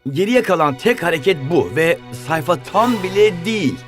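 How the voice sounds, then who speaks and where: High-pitched, anxious young footballer. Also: male voice, moderate pace. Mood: pleading. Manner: urgent, pleading, excitable. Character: anxious, earnest young male footballer hero. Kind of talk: animated cartoon dub acting. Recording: music behind the voice.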